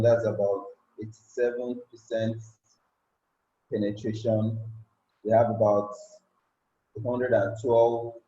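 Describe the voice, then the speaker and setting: A man from Nigeria with a Nigerian accent giving a tech presentation, unhurried and filling pauses with many ums. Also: fairly low pitch, dark tone, a little hiss or hum. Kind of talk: conference presentation. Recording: roomy, slightly dull sound.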